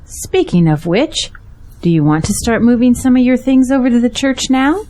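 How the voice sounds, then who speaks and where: A woman's voice: a little high, moderate pace, dark tone, natural voice. A woman playing a kindly, practical and caring friend in an audio drama.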